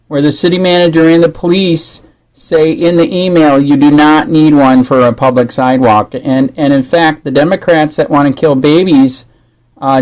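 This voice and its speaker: Higher-pitched man, halting and insistent. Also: darkish tone, rough recording. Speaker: caller disputing a permit rule. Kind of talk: phone conversation.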